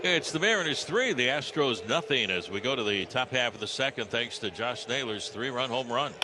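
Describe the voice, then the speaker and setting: A man's voice: medium pitch, animated, a little fast. A man with an American accent calling live baseball play-by-play on the radio. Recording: ballpark ambience noise behind broadcast. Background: stadium ambience.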